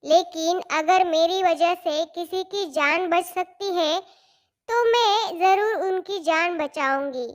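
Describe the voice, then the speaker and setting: Woman as a very high-voiced humble young girl. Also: slow. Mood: sad, resolved. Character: humble, kind-hearted mistreated girl.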